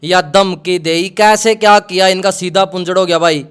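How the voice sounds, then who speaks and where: A man's voice: high-pitched, fairly even intonation, moderate pace, a bit bright, clean tone. A man with a Haryanvi accent, hosting a live call interview in colloquial speech. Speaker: media interviewer.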